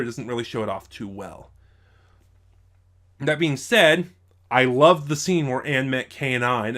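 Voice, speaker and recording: A man records an unscripted review in a medium-pitched voice. He talks haltingly, as if working out his opinion aloud, and often breaks off to rephrase a sentence midway. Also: a bit bright. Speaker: YouTube audio drama reviewer.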